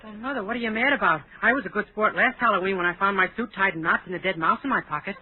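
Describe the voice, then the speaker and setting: A man's voice: high-pitched, very fast, lightly acted. A man acting as a naive, mild young son, innocent and matter-of-fact, in 1940s-style radio comedy. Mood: blithely matter-of-fact. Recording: very noisy, tinny old radio recording.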